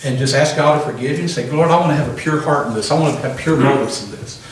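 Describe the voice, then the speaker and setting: A man's voice: medium pitch, quick pace. An older man delivers an emphatic sermon through a handheld mic, often calling for an amen. The small hall echoes heavily, and the voice is picked up from a distance with faint background hiss. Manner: emphatic preaching with call-and-response amens. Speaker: pastor. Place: small church hall, indoors. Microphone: handheld microphone. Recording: echoey room from a distant camera.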